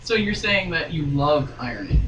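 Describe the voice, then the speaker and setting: A woman's voice: low-pitched, sing-song, moderate pace, dull audio. A woman doing a dramatic reading with playful, joking asides, recorded in a room at some distance from the mic. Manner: theatrical, joking. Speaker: guest reader. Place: sewing room, indoors. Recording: echoey room, distant mic, clear noise.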